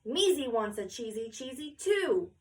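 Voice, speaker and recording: Woman acting out an excitable, high-pitched storybook photographer man, echoey. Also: measured pace. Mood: coaxing, begging. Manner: yelling, begging, sweet-talking with baby talk. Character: excitable, pleading, easily flustered male school photographer. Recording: roomy echo, distant mic.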